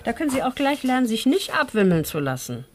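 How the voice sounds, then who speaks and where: A woman playing a brisk, bossy and chatty middle-aged tax office clerk. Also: fairly high pitch, sing-song, a little hiss or hum.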